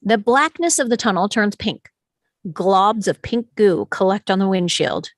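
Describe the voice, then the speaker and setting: A woman reading scripted stage directions as narrator at an animated film table read. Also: mid-range voice, a little slow, no echo, studio quality.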